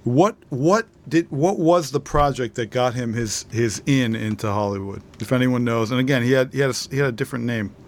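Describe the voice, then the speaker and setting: A man's voice: medium pitch, a little fast, few pauses, a little hiss or hum. Male co-host, middle-aged, mid-range voice, earnest and rambling in informal discussion. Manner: earnest, rambling with repeated words. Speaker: podcast co-host. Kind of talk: casual podcast discussion.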